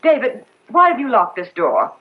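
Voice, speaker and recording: An actress playing an insistent, proper, hectoring wife in a radio drama. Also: female voice, on the high side, huge pitch swings, normal speed. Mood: exasperated. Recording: dull old radio recording, music underneath.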